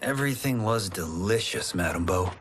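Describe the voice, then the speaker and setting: An actor playing an earnest, modest young farmer and fighter in a video game, mild and careful. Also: male voice, low-pitched, lively intonation, normal speed, a bit bright, a little hiss or hum. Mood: warm. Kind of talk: video game cutscene acting.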